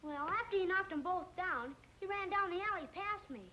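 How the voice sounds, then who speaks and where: A performer voicing an earnest, excitable young boy in an old television western. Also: very high voice, brisk, lo-fi sound. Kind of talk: 1950s television western acting. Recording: faint noise on old soundtrack.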